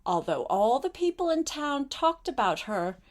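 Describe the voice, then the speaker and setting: An older woman reading a storybook aloud as a grandmother, expressive and often turning to speak directly to a child. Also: sits high, measured pace.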